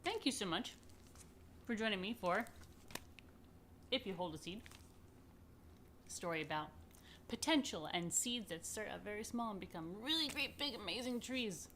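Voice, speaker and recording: A woman's voice: medium pitch, animated, normal speed, pauses often, a bit bright, slight background noise. A woman rabbi hosting a children's story time, speaking informally and playfully straight to the children in a chatty way.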